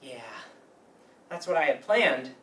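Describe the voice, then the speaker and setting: Actor doing an awkward naive young dude with a crush, animated, echoey stage reading. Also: mid-range voice, slow pace. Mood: wistful. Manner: hesitant, earnest. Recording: roomy, distant mic, faint noise.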